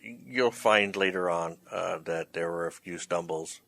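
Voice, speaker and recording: A man hosting in a casual chat, talking in a halting, hesitant way. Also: sits low, vocal fry, fairly bright tone. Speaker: host, writer-director of the play. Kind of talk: casual chat introducing bloopers.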